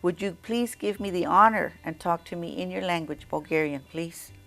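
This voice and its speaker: A woman's voice: lowish voice, normal speed, vocal fry, fairly bright tone, soft background music. A woman student interviewing a classmate, politely asking questions and restarting them midway.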